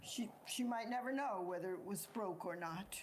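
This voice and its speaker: A woman playing a grieving, remorseful and quietly defiant farm wife in a stage play. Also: medium pitch, moderate pace, lightly acted. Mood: quietly resolute. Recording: noisy amateur recording.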